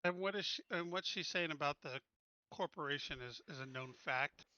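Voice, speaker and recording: A man's voice: on the high side, normal speed, sharp tone, dry recording, dull audio. A man chatting casually as a player in a tabletop roleplaying game.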